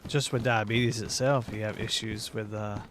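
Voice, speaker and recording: A man's voice: lowish voice, fairly even intonation, moderate pace, fairly bright tone, a little hiss or hum. A man livestreaming a game, talking in a rambling, folksy, informal way as he plays. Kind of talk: casual gaming commentary and chat.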